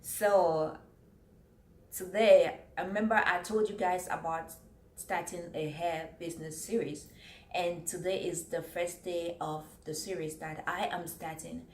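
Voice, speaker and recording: Earnest woman vlogger, low voice. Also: fairly even intonation, unhurried. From Cameroon. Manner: earnest, repeats points, reads from notes. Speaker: vlogger giving business tips. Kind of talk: casual talk to camera. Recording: roomy echo, faint background noise.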